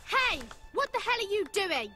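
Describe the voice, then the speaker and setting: A woman's voice: extremely high pitch, sing-song, normal speed, bright, forceful tone, faint music behind, exaggerated voice. A woman playing a plucky, streetwise young girl with a British accent in a video game. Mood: indignant. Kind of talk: video game cutscene dialogue.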